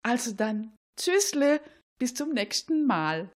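Casting: woman as grumbling Swabian cleaning lady for radio comedy, colloquial and confiding, animated melody. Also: fairly high pitch, slow pace, pitched above the performer's own voice. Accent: southern German, Swabian.

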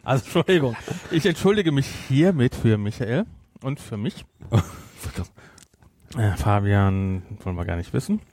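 A man's voice: mid-range voice, very animated, unhurried, a little mellow, dry recording. Middle aged guy on a podcast, rambly and jokey, casual chat, really dry close sound. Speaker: podcast co-host.

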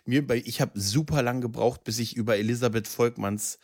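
A man's voice: mid-range voice, brisk, darkish tone. A man co-hosting a podcast in casual, colloquial chat, often seeking agreement with little tag questions. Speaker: podcast co-host.

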